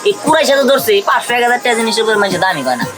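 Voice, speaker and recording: A woman's voice: on the high side, expressive, rapid-fire, close to an everyday voice. A woman playing a blunt, argumentative, sarcastic shop assistant in a comic short drama, with a Bangladeshi accent. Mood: grumbling. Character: quarrelsome, blunt shop assistant, haggling and blaming others. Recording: loud music behind the dialogue.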